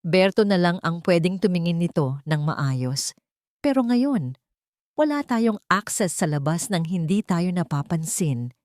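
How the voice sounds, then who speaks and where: A woman's voice: lowish voice, very animated, measured pace, darkish tone, no echo, studio quality. A woman playing a caring but firm poor young heroine in an audio drama, speaking earnestly. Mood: hushed worry.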